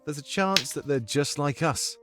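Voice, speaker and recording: A man playing a thoughtful fantasy ally who counsels a monarch, speaking in a measured, questioning, principled way. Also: a little high, loud music behind the voice, lightly acted. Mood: brooding. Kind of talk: fantasy audio drama acting.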